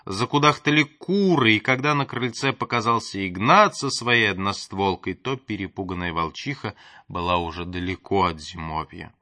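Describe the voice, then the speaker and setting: Male audiobook narrator, expressive storybook reading, crisp forward brightness, varied dramatic stresses, very dry recording. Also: on the low side, moderate pace, no echo.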